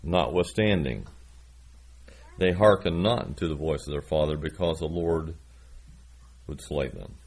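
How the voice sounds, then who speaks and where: Man, 60s, preacher; low, husky, folksy and self-deprecating. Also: unhurried, pauses often, a little mellow. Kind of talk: sermon with scripture reading.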